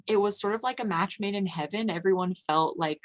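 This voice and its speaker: A woman moderating a casual post-show discussion, speaking thoughtfully with small hesitations and softeners. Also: medium pitch, a little fast.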